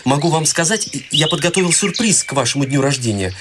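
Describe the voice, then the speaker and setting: A young man voicing a shy, withdrawn young man who speaks quietly and hesitantly. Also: on the high side, animated, brisk, very noisy, close to an everyday voice. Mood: earnest. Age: young adult. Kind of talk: radio play acting.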